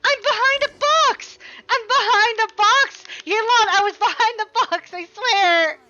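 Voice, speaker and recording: Bright, high-voiced, animated woman streaming a game. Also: measured pace, dull audio. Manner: exasperated, expressive, pleading with the game. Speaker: live streamer. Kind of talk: casual live game commentary.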